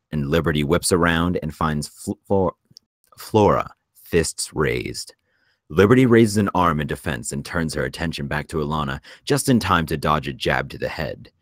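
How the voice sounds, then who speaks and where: A man reading screenplay stage directions as narrator, in a measured, descriptive way. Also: very deep voice, fairly bright tone, dry recording, clean studio sound.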